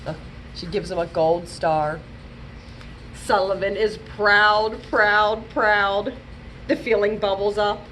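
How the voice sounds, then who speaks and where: A woman's voice: medium pitch, fairly even intonation, slow pace, a bit bright, a little hiss or hum, rough recording. A woman hosting a storytime, talking playfully and animatedly to young children as a children's librarian would. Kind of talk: children's storytime read-aloud and chat.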